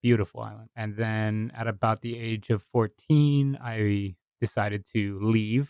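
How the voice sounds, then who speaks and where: Low-voiced laid-back man from Hawaii. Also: no room sound, muffled recording. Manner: rambling, self-correcting, laughs often, swears casually. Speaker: podcast guest. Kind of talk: casual conversation.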